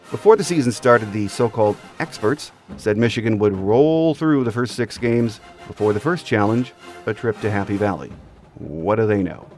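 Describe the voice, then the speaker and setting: A man's voice: fairly low pitch, darkish tone. A middle-aged or older American man delivering scripted sports commentary as a podcast host.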